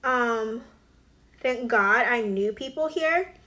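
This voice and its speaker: Young woman, mid-twenties, a touch high-voiced, chatty get-ready-with-me vlogger full of fillers and asides. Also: expressive, slow, pushed and bright. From Alabama. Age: 20s. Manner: chatty, filler-heavy, drops to whispered asides. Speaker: beauty vlogger. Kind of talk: casual get-ready-with-me chat.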